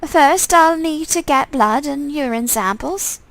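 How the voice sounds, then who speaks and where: Woman voicing a high, hesitant clinic doctor. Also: measured pace, a bit bright, dry recording. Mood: hesitant, clinical. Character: hesitant, businesslike doctor examining a patient.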